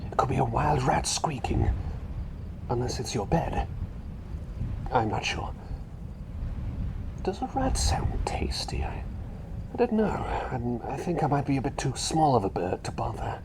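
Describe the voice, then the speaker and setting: A man voicing a fussy, chatty little bird who is really a doctor, rambling and repeating himself politely in a hushed, breathy ASMR roleplay. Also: mid-range voice, big pitch swings, a little slow, pauses often. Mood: curious, hesitant. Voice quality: breathy, half-whispered.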